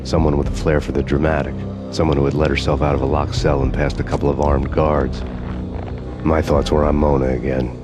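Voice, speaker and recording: An actor playing a brooding, vengeful noir cop who narrates in a deadpan, weary voice for a video game. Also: male voice, a bass voice, fairly quick, over music.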